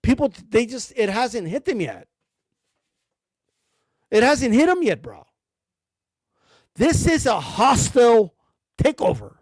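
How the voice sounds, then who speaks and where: A man's voice: extremely high pitch, very animated, slow pace, pauses often, a little mellow, no room sound. A man giving blunt, rambling commentary about boxing, full of slang and cursing. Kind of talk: casual ranting commentary.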